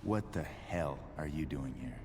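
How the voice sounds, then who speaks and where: Video game villain: foul-mouthed, volatile thug, unhurried menace, heavy reverb. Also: male voice, rumbling low. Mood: hostile surprise. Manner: curt, profane, threatening. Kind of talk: dramatic video game voice acting. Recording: noisy, long reverberant echo.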